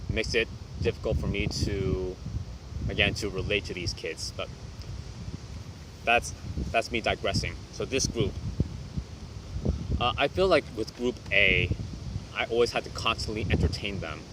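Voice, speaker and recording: A young man who teaches English, talking through a reflective vlog in a quiet, reserved way with hesitant restarts. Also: lowish voice, normal speed, long pauses, noisy background.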